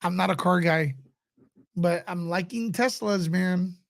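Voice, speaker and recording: A man's voice: high-pitched, a little slow, creaky. Laid-back nasal-voiced male host. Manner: laid-back, laughs often, mock radio-announcer bits. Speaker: show host. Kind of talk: casual livestream chat reading viewer questions.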